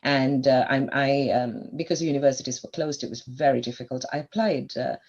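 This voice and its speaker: A woman's voice: extremely low pitch, brisk, a little mellow, lo-fi sound. A woman in her fifties from Sri Lanka, a doctor guest on a podcast, reflectively telling her life story at length. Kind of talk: podcast interview.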